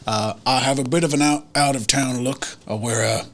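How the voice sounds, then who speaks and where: A man's voice: mid-range voice, steady tone, moderate pace, fairly bright tone. A man casually talking as a player and host of a tabletop role-playing game. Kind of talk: casual character introduction.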